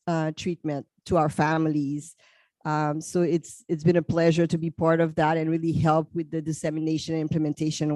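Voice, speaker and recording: A middle-aged woman from Haiti whose first languages are French and Haitian Creole, speaking on a panel in a measured way with frequent fillers. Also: fairly low pitch, a little mellow, dry recording. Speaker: psychologist panelist. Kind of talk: panel talk.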